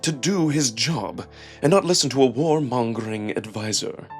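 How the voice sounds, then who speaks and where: A man voicing a stern, guarded she-wolf noblewoman with a measured, cutting, skeptical manner. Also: mid-range voice, expressive, soft background music, natural voice.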